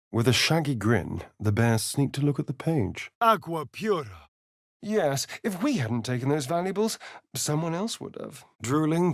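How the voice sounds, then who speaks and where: A man's voice: no room sound, studio quality. A man playing a sly, sardonic vampire spawn rogue in a video game, with an arch, drawling, theatrical delivery. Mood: dramatic storytelling, then incantatory. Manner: arch, theatrical, drawling, with big swoops in pitch. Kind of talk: video game voice lines and narration.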